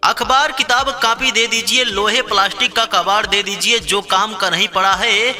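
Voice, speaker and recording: High-pitched man's street hawker announcement over music. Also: fairly even intonation, moderate pace. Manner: repetitive salesman's call, short commanding phrases. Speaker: street announcer for a scrap buyer. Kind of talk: scripted loudspeaker street-hawking advertisement. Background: background music.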